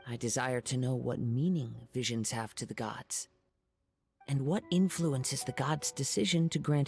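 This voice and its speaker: A man voicing a soft-spoken, contemplative young swordsman in a video game, formal and polite in his measured phrasing. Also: medium pitch, gravelly. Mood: pensive, solemn. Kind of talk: scripted video game character dialogue. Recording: background music.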